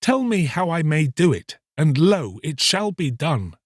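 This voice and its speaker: A man's voice: on the high side, sing-song, a little slow, dry recording, clean studio sound, voice pushed up. A man voicing a lovestruck young fisherman in a storybook, pleading earnestly in impassioned, archaic speech. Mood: urgent pleading.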